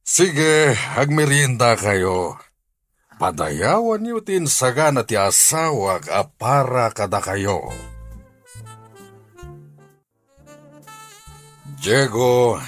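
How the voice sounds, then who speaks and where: A welcoming, wealthy Ilocano householder in a radio drama. His voice is very low and raspy, yet moves expressively up and down. He gives orders in a measured, authoritative way. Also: big pitch swings, a bit bright, faint music behind, exaggerated voice. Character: generous, welcoming wealthy householder hiring servants.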